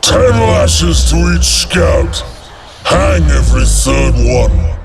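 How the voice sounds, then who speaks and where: An actor playing a haughty, harsh army commander in a video game, contemptuous and commanding. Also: male voice, mid-range voice, very slow, gravelly. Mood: cold anger. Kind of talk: dramatic video game acting. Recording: loud music under the voice.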